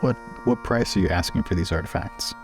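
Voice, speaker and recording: Very low-voiced man playing a tabletop game. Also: brisk, faint music behind. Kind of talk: improvised roleplay and casual table talk.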